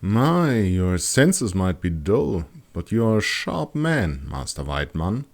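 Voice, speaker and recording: A man's voice: sits low, very animated, slow, creaky, exaggerated voice. A man voicing a refined, playful female astrologer in her forties with a poised manner in an audiobook. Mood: playfully mocking.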